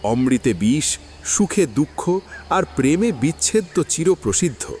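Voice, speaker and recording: Expressive male drama narrator. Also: on the high side, unhurried, slight background noise. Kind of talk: scripted storytelling narration.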